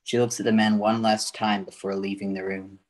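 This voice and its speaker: A man's voice: on the low side, flat delivery, a little fast, darkish tone. A man reading scripted narration for a radio play as its narrator.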